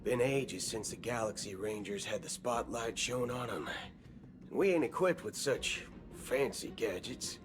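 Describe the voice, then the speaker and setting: An actor playing a swaggering, wry cowboy gunslinger in a video game, talking in folksy slang. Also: male voice, mid-range voice, lively intonation, lightly acted. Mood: drawling. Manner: folksy slang, slow drawling delivery. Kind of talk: video game cutscene acting. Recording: game audio with background music.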